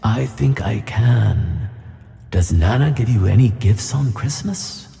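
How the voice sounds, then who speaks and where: Big wise fatherly fish for a kids audio story, super deep n mellow, calm, tons of reverb. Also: slow, creaky, faint music behind, exaggerated voice. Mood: gentle, reassuring. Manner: calm, reassuring, gently admonishing. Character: wise, gentle male giant flying tuna, fatherly mentor. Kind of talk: children's audio play acting. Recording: long cavernous reverb on voice.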